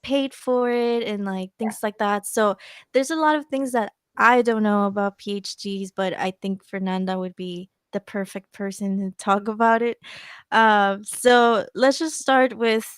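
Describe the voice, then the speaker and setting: A woman's voice: medium pitch, steady tone, a little slow, smooth, dry recording, studio quality. A young woman hosting a podcast who asks her questions in a rambling, filler-heavy way. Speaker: podcast host. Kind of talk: casual podcast interviewing.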